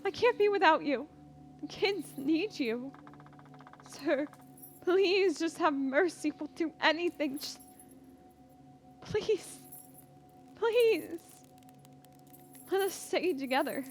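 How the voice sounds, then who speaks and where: A woman voicing a desperate young wife and mother who begs in a high, strained voice. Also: expressive, slow pace, long pauses, soft background music, heavily acted. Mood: pleading.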